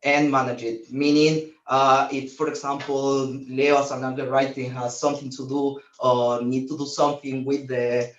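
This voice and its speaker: A man's voice: mid-range voice, level tone, normal speed, hardly any pauses. A young man from Chile, speaking hesitantly as an alumnus guest over a video call from an office. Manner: hesitant, searching for words. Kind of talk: casual remote testimonial. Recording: roomy, distant, dull video-call audio.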